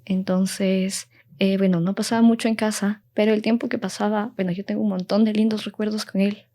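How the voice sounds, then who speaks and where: A woman's voice: mid-range voice, flat delivery, a little fast, mellow. A young adult woman speaking in an interview, casual and heartfelt, with lots of fillers. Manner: chatty, heartfelt, many fillers.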